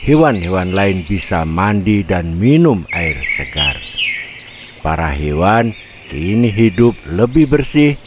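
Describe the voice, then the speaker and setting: A man's voice: low-pitched, very animated, slow pace, background noise. A man narrating a scripted animal fable for children. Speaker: children's storyteller.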